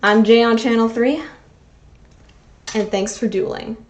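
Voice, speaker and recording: A woman's voice: on the high side, steady tone, unhurried, background noise. A woman in her twenties narrating a personal video essay as channel host, earnest and sentimental with wry, self-deprecating asides. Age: 20s.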